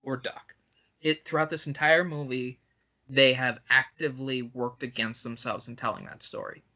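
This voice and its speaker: A man speaks with a bright, forceful edge at an average pitch, arguing bluntly and swearing freely as he co-hosts a casual film podcast. The recording is slightly dull and lo-fi. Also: fairly even intonation, moderate pace. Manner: blunt, profane, opinionated. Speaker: podcast co-host.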